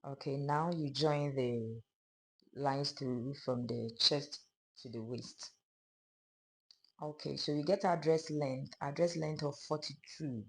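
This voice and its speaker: Hoarse sewing teacher explaining steps. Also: medium pitch, slow. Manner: calm, step-by-step explaining of measurements. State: hoarse, losing voice. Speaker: sewing instructor. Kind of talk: pattern-drafting tutorial narration.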